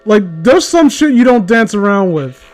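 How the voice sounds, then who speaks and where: A man's voice: high voice, very animated, moderate pace, darkish tone, soft background music. A man chatting casually in a blunt, opinionated way with plenty of swearing. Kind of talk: casual chat.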